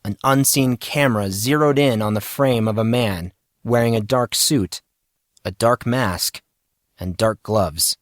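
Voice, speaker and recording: A man's voice: on the low side, measured pace, no room sound. Man narrating a story, dry recording. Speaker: audiobook narrator. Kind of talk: dramatic scripted story narration.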